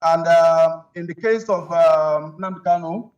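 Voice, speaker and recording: Male rights-advocate guest, Nigerian accent, high voice, halting and repetitive, on a distant, muffled remote link. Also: darkish tone. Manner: halting, repetitive, searching for words. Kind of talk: spoken opinion in a news interview.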